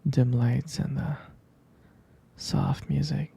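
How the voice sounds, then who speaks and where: A man performing an intimate ASMR boyfriend roleplay as a protective, affectionate partner, speaking in a hushed, hesitant, tender murmur. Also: flat delivery, slow pace. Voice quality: breathy, gravelly low murmur.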